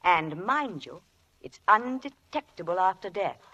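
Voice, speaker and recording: A woman's voice: fairly low pitch, animated, a little slow, sharp tone, dull audio. An actress playing a worldly, self-assured lady crime novelist in a vintage radio drama, arch and teasing. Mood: confiding, relishing. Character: worldly, self-assured woman mystery writer.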